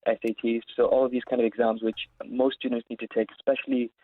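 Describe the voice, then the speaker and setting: Male interview guest, twenties, from Saudi Arabia, fast conversational delivery, dry narrow call-line sound. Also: medium pitch, level tone. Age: 20s. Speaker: interview guest, founder of an education platform. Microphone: remote call line. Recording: narrow phone-like live line.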